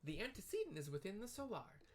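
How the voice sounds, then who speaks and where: A man voicing a small, cheery female game receptionist who speaks politely and primly in a high, sing-song falsetto. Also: huge pitch swings, fast talker, exaggerated voice. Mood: cheerful, welcoming. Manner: formal, courteous phrasing. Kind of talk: acted game dialogue read aloud.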